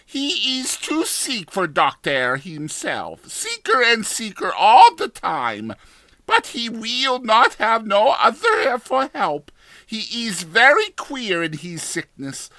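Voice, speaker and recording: Man putting on an excitable, shrill Spanish landlady. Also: slow pace, no room sound, exaggerated voice. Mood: agitated, gossiping. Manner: exclamatory, broken English. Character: excitable, gossiping Spanish landlady, slatternly woman.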